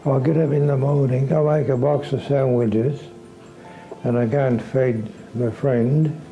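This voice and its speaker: An older man giving a halting personal account in an interview, repeating words. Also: mid-range voice, fairly even intonation, dark tone, soft background music, low-quality recording.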